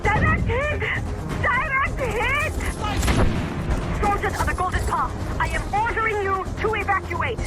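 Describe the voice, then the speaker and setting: An actress playing a fierce, driven female rebel commander in a video game, forceful and commanding over a radio. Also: extremely high pitch, unhurried. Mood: urgent, alarmed. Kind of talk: dramatic video game acting. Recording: radio transmission under loud music.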